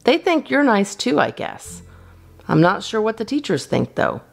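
A woman's voice: fairly high pitch, very animated, moderate pace, vocal fry, faint music behind, voice pushed up, full character voice. A woman voicing a wry, grudging third-grade boy in a children's storybook.

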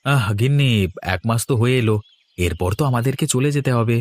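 A man's voice: medium pitch, fairly quick, darkish tone, no echo, lightly acted. A man voicing a teasing city husband visiting the village in a cartoon, joshing and pleading with his wife. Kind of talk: cartoon voice acting.

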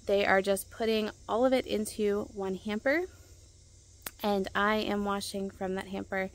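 A woman's voice: mid-range voice, fairly even intonation, a little slow. A woman in her thirties casually explaining her laundry routine in a backyard vlog, recorded on a handheld phone outdoors. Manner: chatty and practical, with frequent ums and self-corrections. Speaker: homeschooling mom vlogger. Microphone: phone held at arm's length.

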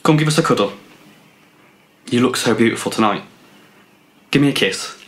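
Actor as controlling young boyfriend. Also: male voice, mid-range voice, moderate pace, lightly acted. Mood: coaxing. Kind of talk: naturalistic student radio drama acting.

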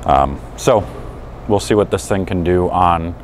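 A man's voice: deep voice, a little slow. A man in his forties giving an easygoing, unscripted product review in a casual, conversational way. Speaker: product reviewer and bowling coach. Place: indoors in a pro shop and bowling alley. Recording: clear background noise of bowling alley.

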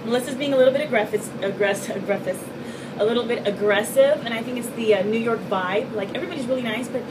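A woman's voice: fairly high pitch, fast. A woman in her thirties chatting casually as a vlog host in a hyper, teasing, bossy way. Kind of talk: casual, playful vlog chat. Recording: distant mic, loud room hum.

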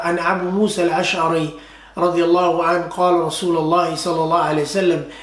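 An imam delivers an earnest Friday sermon in a fairly high voice. He repeats key phrases for emphasis and recites Arabic passages quickly. His voice rings through an echoey prayer hall. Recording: echoey room.

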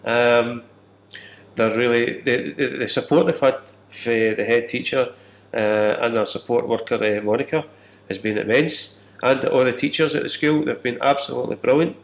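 A man's voice: medium pitch, fairly even intonation, moderate pace. A man in his fifties giving an interview account as a care worker. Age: 50s. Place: small office, indoors. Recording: echoey room.

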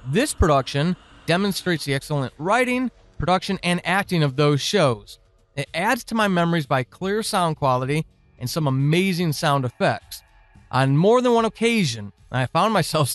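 A man hosting a podcast, speaking informally and earnestly in deliberate phrases as he addresses his listeners. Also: fairly high pitch, no echo. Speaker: podcast creator and host. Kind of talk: informal listener thanks and announcements.

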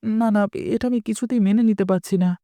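Woman acting a quick, earnest young village lad. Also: a little high, steady tone, mellow, dry recording, clean studio sound, close to an everyday voice. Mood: concerned, determined. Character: village blacksmith's young son, reluctant but loyal. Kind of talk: cartoon dialogue acting.

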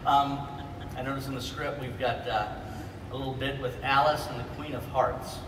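A man's voice: mid-range voice, steady tone, moderate pace. A middle-aged man hosting a panel on stage in a casual, chatty style. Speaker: panel host. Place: large convention hall stage. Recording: echoey hall PA from far off, crowd noise.

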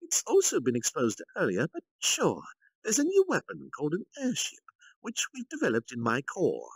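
A man's voice: on the high side, wildly swooping pitch, moderate pace, vocal fry, slightly rough, dry recording, pitched above the performer's own voice, heavily acted, poor audio. A man voicing a scheming, self-important military commander in a grandiose, theatrical manner for a story reading. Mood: pompous, assured.

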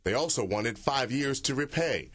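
A man narrating a TV news report in a steady, measured way. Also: medium pitch, crowd noise.

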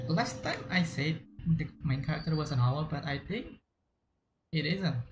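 Slow, gravelly Indonesian-accented streamer. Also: medium pitch. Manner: frequent throat clearing, halting restarts. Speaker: game streamer. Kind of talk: casual let's-play commentary. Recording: echoey room, faint game music behind.

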